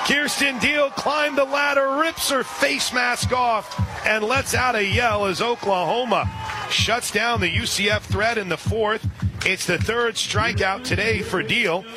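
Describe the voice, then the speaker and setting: A man doing live radio play-by-play for a softball game, chatting between pitches and shouting on big plays. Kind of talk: live radio sports commentary.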